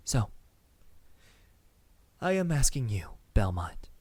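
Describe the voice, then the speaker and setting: A man playing a courteous, lovestruck vampire in an intimate ASMR roleplay, speaking softly in formal words with hesitant pauses. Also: medium pitch, big pitch swings, dry recording. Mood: persuasive, devoted. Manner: hesitant, soft-spoken, formal wording with lingering pauses.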